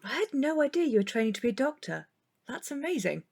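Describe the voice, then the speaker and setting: A teasing, outspoken friend in an audio drama. Her voice is a little higher than average. She is informal and blunt, with a dry edge to her lines. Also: big pitch swings, fast, creaky, a little mellow, husky, full character voice. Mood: impressed. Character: cheeky, blunt, teasing young woman friend.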